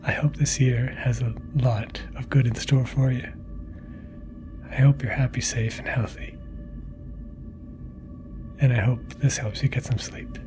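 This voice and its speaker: A man introducing a bedtime podcast episode in a hushed, unhurried manner. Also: mid-range voice, wildly swooping pitch, music in the background.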